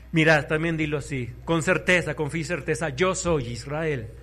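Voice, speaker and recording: A man preaching a sermon, fervent, swinging between soft and loud, urging listeners to repeat after him. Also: a little high, unhurried.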